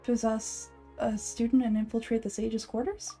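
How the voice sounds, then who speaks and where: Woman voicing a very mellow, reserved young adventurer. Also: fairly high pitch, flat delivery, normal speed, soft background music, voice pushed down, lightly acted. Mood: pondering. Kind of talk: fan dub of anime game dialogue.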